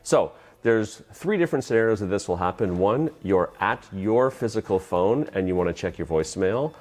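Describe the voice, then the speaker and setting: A man's voice: on the low side, animated, moderate pace, darkish tone, faint music behind. A man presenting an instructional tutorial, explaining things plainly step by step. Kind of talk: instructional explanation of phone system use.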